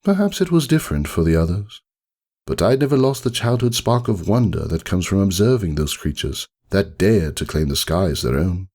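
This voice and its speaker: A man's voice: low-pitched, big pitch swings, moderate pace, a little mellow, full character voice. A man playing a haughty, melancholy aristocratic unicorn who narrates his own story in a wordy, theatrical, ruminative storybook manner. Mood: wistful, formal. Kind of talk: dramatic storybook reading, first-person monologue.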